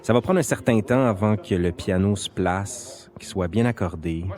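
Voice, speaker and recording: A man's voice: lowish voice, moderate pace. A man with a Quebec French accent, reading an intimate, scripted autobiographical narration. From Quebec, Canada. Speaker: narrator and interviewer. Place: sound studio. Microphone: quality studio microphone. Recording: music behind the voice. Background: music.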